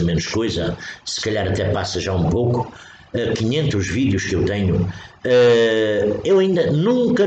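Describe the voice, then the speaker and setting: Emphatic Portuguese man preaching. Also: mid-range voice. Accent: European Portuguese. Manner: repetitive, emphatic, with frequent restarts. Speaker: spiritual preacher. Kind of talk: spontaneous spiritual talk. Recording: echoey room with faint noise.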